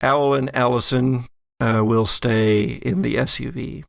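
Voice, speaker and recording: A man with a creaky voice narrating and making rulings as game master of a tabletop role-playing game, in a measured, conversational way. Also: mid-range voice, fairly even intonation, dry recording, clean studio sound. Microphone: close studio microphone.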